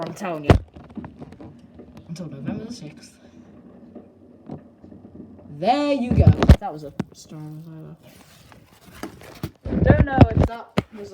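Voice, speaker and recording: A woman's voice: medium pitch, very noisy. A young woman, rambling and joking casually as a vlogger while filming fireworks at home on a handheld camera. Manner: rambling, self-deprecating, mild swearing. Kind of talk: casual vlog commentary.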